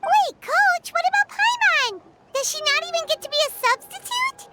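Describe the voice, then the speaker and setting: A woman voicing a tiny, excitable fairy companion in a video game, with a squeaky childlike voice and an exclamatory, chatty manner. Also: moderate pace, heavily acted. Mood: indignant. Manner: speaks of herself in third person, exclamatory. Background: music.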